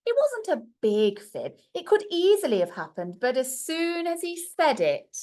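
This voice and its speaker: A woman's voice: high voice, very animated, measured pace, a little mellow. A woman reading a storybook aloud to young children like a primary teacher, expressively and with little asides to her listeners.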